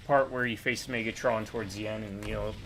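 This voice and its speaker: A man's voice: lowish voice, fairly even intonation, brisk, fairly bright tone, a little hiss or hum. A man chatting casually while playing a co-op game, joking and thinking aloud. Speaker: co-op game player. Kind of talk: casual gameplay chat.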